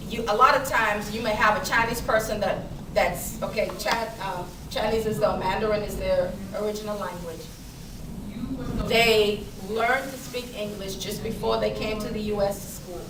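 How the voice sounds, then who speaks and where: A woman's voice: mid-range voice, measured pace. A woman from the Bahamas giving a casual talk on public speaking to a small group. She chats easily, laughs often and answers questions from the floor. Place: library meeting room. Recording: echoey room, speaker far from mic, clear background noise.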